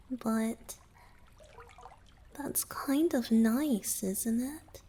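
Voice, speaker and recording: A gentle young merman boyfriend played by a woman in a romantic ASMR roleplay. The voice is soft and very breathy, often slipping toward a whisper. The delivery is extremely slow, tender and playfully affectionate. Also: fairly high pitch, exaggerated voice. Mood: musing, tender. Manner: tender, unhurried, softly teasing.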